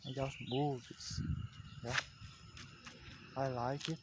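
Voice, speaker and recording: Halting man, unhurried, outdoor take with crickets chirping loudly behind him. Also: mid-range voice, flat delivery, heavy background noise. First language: Portuguese. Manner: halting, searching for words, frequent "yeah". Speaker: language learner. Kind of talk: unscripted practice monologue.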